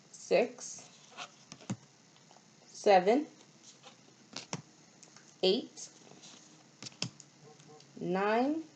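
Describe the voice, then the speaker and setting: A woman speaking as a preschool teacher in simple, deliberate phrases, leading a storytime lesson for young children. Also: mid-range voice, darkish tone, a little hiss or hum.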